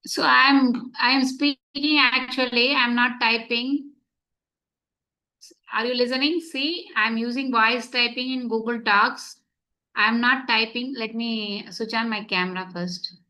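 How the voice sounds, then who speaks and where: A woman's voice: fairly high pitch, fairly even intonation, slow, sharp tone, poor audio. Online class teacher, woman, native Tamil speaker; step-by-step explainer who keeps checking students are following. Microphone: computer mic on video call.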